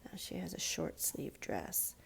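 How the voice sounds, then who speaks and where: A woman's voice: measured pace, whispered. A woman giving children an informal step-by-step drawing lesson, explaining things simply and patiently with questions to her young listeners. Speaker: children's art teacher.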